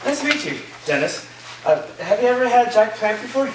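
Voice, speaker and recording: A man's voice: very high voice, sing-song, brisk. A man as a friendly student, echoey and distant. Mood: friendly, casual. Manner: casual, hesitant, amiable. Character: friendly, chatty young male returning college student. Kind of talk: staged play reading. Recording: echoey, distant room recording with faint noise.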